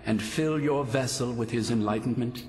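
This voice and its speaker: An actor playing a serene ghost of an elf priest in a fantasy video game, speaking in formal ceremony. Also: male voice, mid-range voice, normal speed, darkish tone, natural voice. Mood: serene, ceremonial.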